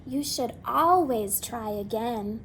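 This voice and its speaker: A woman voicing an eager, imaginative young girl in a storybook, with bright, excited delivery. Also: a little high, slow pace, a little hiss or hum, low-quality recording. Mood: earnest.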